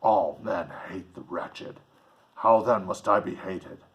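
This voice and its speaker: A man's voice: deep voice, slow pace, dull audio, voice pushed down. A man voicing a huge, bitter manmade monster in a storybook reading, speaking in solemn, archaic oratory. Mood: bitter, wretched.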